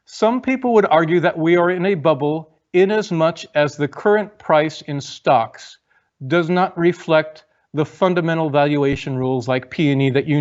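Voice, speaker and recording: Chatty male lecturer on a lo-fi stream. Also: fairly high pitch. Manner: chatty, reads viewer questions aloud, frequent ums. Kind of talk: online lecture and audience Q&A.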